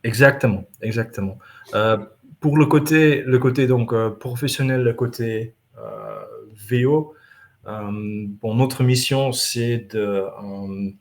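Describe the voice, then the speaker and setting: A man who is not a native French speaker, a company manager answering interview questions at a trade show, halting with many fillers and restarts. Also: mid-range voice, vocal fry. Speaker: company country manager, guest.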